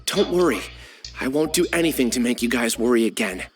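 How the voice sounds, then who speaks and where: A man's voice: mid-range voice, expressive, fairly quick, breathy edge. An actor playing an earnest, apologetic young man in a video game.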